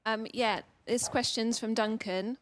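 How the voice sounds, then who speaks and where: A woman's voice: fairly high pitch, fairly even intonation, measured pace. A woman hosting an event, relaying questions from the audience in a plain speaking style.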